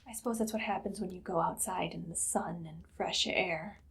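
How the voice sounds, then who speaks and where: Woman playing a hushed, haughty evil queen. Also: lowish voice, moderate pace, creaky, a little hiss or hum. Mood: coolly amused. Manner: hushed, imperious, often slipping into whispers. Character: haughty evil queen, cruel, darkly amused conqueror. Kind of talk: ASMR roleplay monologue, personal attention.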